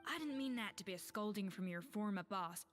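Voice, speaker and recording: A woman's voice: mid-range voice, animated, fairly quick, lightly acted. A woman playing a proud, principled young head chef in an anime-style video game, composed and earnest with a weary restraint. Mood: stern, sincere.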